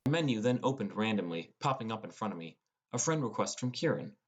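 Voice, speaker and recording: A man's voice: fairly low pitch, sing-song, quick pace. Man as teenage boy narrating a fantasy audiobook. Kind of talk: first-person scripted audiobook narration.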